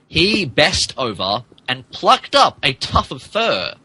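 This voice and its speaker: A man's voice: on the high side, wildly swooping pitch, slow pace, pushed and bright, slightly rough, no room sound, poor audio. A man giving a mock-serious, theatrical comic narration of fan fiction on a podcast.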